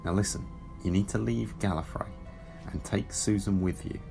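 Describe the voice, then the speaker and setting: An actor playing an urgent, stern Time Lord ex-soldier in an audio drama, insistent and formal. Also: male voice, fairly low pitch, fairly even intonation, moderate pace, vocal fry, music in the background. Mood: insistent, grave.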